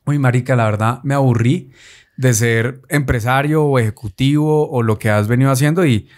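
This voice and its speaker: A man in his thirties with a Colombian accent, hosting a podcast in relaxed, slangy casual chat, recorded on a close desk mic in a small studio. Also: mid-range voice, moderate pace. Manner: relaxed, slangy, laughs often. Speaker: podcast host.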